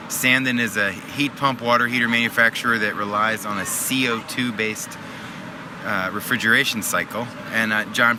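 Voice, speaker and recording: Curious male podcast host on a noisy show floor. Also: medium pitch. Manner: curious, quick interjections. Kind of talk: casual interview. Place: busy trade show floor. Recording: noisy expo floor background.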